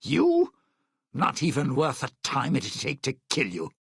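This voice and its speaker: Raspy, theatrical old lounge singer. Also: male voice, medium pitch, huge pitch swings, measured pace, fairly bright tone, no room sound, clean studio sound, exaggerated voice. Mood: taunting, menacing. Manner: theatrical, sardonic showman talking in stage metaphors. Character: suave, bitter century-old lounge singer, vain and scheming. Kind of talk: video game voice acting.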